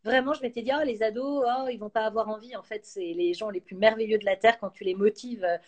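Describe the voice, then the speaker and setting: A woman's voice: fairly high pitch, fast talker. A woman, chatting casually and enthusiastically as a guest on a live stream. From France. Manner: lively, enthusiastic, many fillers. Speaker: actress and YouTuber, guest.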